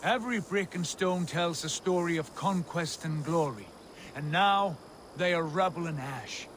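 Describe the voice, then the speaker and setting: An actor playing a proud, commanding Viking jarl in a video game, speaking in a stately, declamatory way. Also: male voice, fairly high pitch, unhurried, fairly bright tone, a little hiss or hum. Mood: reflective. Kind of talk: cinematic video game acting.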